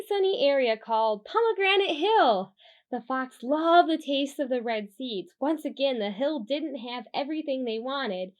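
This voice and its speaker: Animated high-voiced woman reading for children. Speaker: children's storybook reader and host. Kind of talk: animated children's read-aloud with casual asides.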